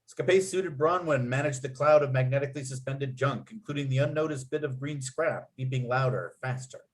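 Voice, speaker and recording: A man's voice: medium pitch, a little fast. A man reading script stage directions aloud as narrator, with clipped, dramatic scene headings. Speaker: narrator and writer.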